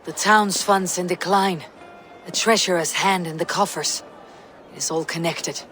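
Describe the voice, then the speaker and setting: A woman's voice: fairly low pitch, measured pace, fairly bright tone, in a large hall, soft background music. An actress playing a stern, determined female Viking warrior in a video game, speaking in a terse, commanding way. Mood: firm, urgent. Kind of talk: dramatic video game acting.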